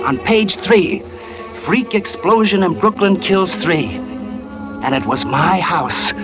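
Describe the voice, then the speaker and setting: Jittery old-radio noir crook, loud music. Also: male voice, fairly high pitch, highs cut off. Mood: frantic, elated. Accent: American. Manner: tough slangy talk, agitated. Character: scheming crook narrating his luck, bitter, jittery, cocky. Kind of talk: 1940s radio noir acting, first-person narration.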